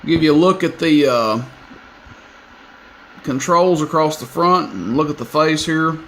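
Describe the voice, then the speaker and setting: A man's voice: on the high side, measured pace, fairly bright tone, rough recording. A man giving a casual, plain-spoken product demonstration of equipment, with folksy, hesitant wording. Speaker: radio seller demonstrating equipment. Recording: amateur video with clear background noise.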